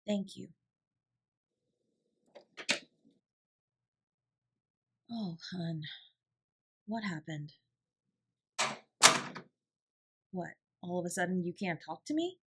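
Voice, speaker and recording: A woman playing a protective, tender older sibling in an intimate audio roleplay, with a soft, breathy, reassuring delivery. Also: fairly low pitch, normal speed, very noisy, natural voice. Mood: gentle, coaxing. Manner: gentle, reassuring, lightly teasing.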